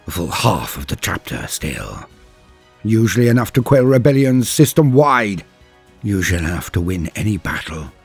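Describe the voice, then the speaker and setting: A man's voice: on the low side, creaky, darkish tone, husky, pitched below the performer's own voice, exaggerated voice. A man voicing a bitter, proud space marine warrior in grave, declamatory dramatic storytelling. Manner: grave, declamatory, deliberate phrasing. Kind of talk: dramatic first-person story narration.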